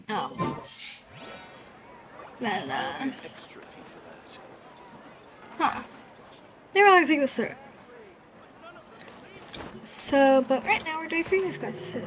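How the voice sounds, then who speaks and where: A woman's voice: high voice, expressive, normal speed, pauses often, noisy background. A woman giving casual, rambling gameplay commentary as the host of a gaming video. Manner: rambling, informal.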